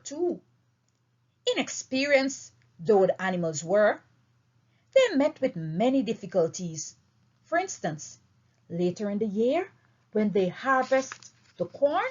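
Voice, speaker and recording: Slow, very animated woman reading aloud. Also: medium pitch, husky. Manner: emphatic, deliberate phrasing with dramatic swings. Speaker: audiobook reader. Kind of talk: expressive storybook read-aloud. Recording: dull, lo-fi recording.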